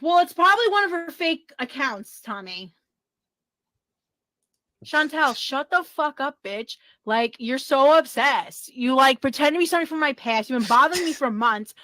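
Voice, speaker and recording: A woman's voice: high voice, animated, moderate pace, pushed and bright, no room sound. A woman ranting profanely and defensively on a livestream. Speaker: streamer being reacted to. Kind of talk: angry livestream rant.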